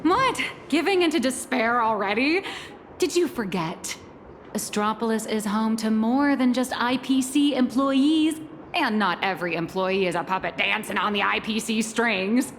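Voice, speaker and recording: A woman's voice: fairly high pitch, measured pace, slight background noise. An actress playing a confident young woman strategist who talks in an assured, persuasive, reasoning way. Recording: game voice-over with reverb and music.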